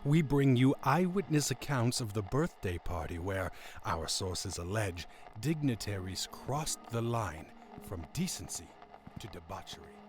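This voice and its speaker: An actor playing a suave, portentous radio news anchor in an audio drama, with theatrical pauses. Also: male voice, fairly low pitch, very animated, very noisy.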